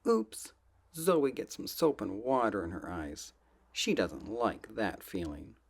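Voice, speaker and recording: A soft-spoken man reading children's books aloud in a gentle, playful ASMR style, often dropping close to a whisper. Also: fairly low pitch, huge pitch swings, creaky, slightly rough. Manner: gentle, drawn-out, playful storybook delivery.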